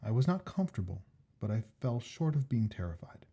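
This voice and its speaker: Male narrator for a classic ghost story: dark, husky tone, mid pitch, measured and expressive, deliberate phrasing. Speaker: story reader.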